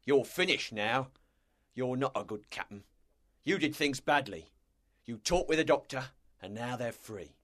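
A man's voice: medium pitch, lively intonation, unhurried, pauses often, a bit bright, voice pushed up. A man voicing a resentful, mutinous buccaneer who speaks in a confrontational, accusing manner in an audiobook story. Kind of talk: dramatized audiobook character voice.

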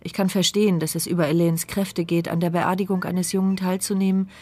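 A woman's voice: on the low side, flat delivery, a little fast, a little mellow, soft background music, close to an everyday voice. An actress voicing the inner thoughts of a helpless, mute woman in a radio crime drama, inward and watchful. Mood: observant. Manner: inward, watchful, tense phrasing. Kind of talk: first-person radio drama monologue.

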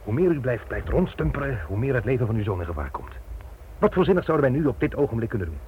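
An actor in a Dutch radio drama playing a firm, reassuring police official with a brisk manner. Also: male voice, mid-range voice, sing-song, natural voice. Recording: muffled old radio recording, faint noise.

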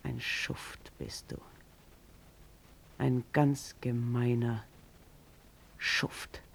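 Creaky, very slow, bitter accuser. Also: male voice, medium pitch, fairly even intonation, a bit bright, slight background noise, exaggerated voice. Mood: contemptuous. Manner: drawn-out, reproachful.

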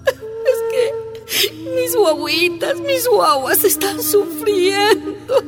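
A woman's voice: extremely high pitch, lively intonation, drawn-out words, big-room sound, over loud music, exaggerated voice. An actress with a Bolivian accent playing an anguished, humble but defiant imprisoned miner's wife and mother in a radio drama. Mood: sobbing, pleading.

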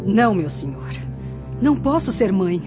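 A woman's voice: mid-range voice, big pitch swings, moderate pace, natural voice. A Brazilian woman acting a proud, distraught young woman in a radio drama, theatrical and pleading. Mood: anguished. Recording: dull lo-fi radio drama, music behind.

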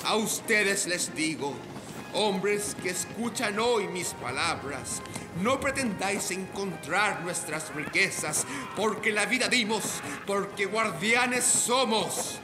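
Slow, solemn ghostly pirate captain. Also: male voice, high-pitched, animated. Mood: menacing. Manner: grave, theatrical, proclaiming. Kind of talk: radio drama monologue. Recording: music behind. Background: music.

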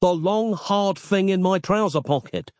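A man's voice: high voice, measured pace, a little mellow, no room sound, clean studio sound, pitched above the performer's own voice. A man voicing a brash, impatient modern young man who talks bluntly. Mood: exasperated.

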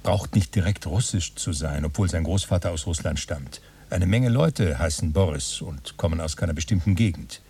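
A man's voice: low-pitched, moderate pace, mellow, husky, recorded from a distance. An actor in a radio play playing a pensive male author who composes his novel aloud in clipped, pronouncing phrases. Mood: deliberating.

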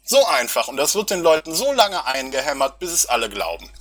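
A man's voice: on the high side, sing-song, fairly quick, fairly bright tone. An agitated, angry man arguing in a confrontational, accusatory and sarcastic way in a heated voice-chat dispute. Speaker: local political party activist. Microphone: online voice chat recording.

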